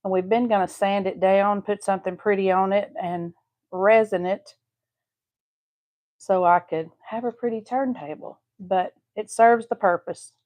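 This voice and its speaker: A woman's voice: medium pitch, fairly even intonation, a little slow, a little mellow. A woman with a Southeast Texas accent hosting a live craft stream, chatting homely with viewers as she paints. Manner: chatty, homey, talks to viewers while painting. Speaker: craft channel host.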